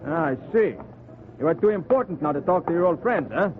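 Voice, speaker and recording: A high-voiced, taunting marketplace crony acted by a man in an old radio play. He swoops animatedly in pitch on a thin, phone-like recording. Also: lively intonation, fairly quick, a little hiss or hum, lightly acted. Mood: teasing, needling. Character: needling, teasing colonial marketplace crony. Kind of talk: 1940s radio acting.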